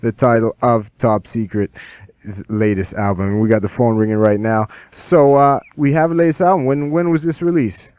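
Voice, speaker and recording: A male radio host with a mid-range voice asks questions in a halting way, with many pauses and fillers. He is recorded dry and close on a studio mic. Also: medium pitch, a little mellow, clear, resonant tone, no room sound. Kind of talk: radio interview.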